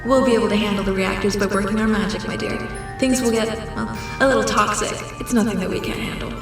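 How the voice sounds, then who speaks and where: A woman's voice: medium pitch, steady tone, quick pace, lightly acted. A woman doing a silky scheming villainess, echoey hall. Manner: silky, condescending, calls partner "my dear". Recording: distant, echoey hall with music.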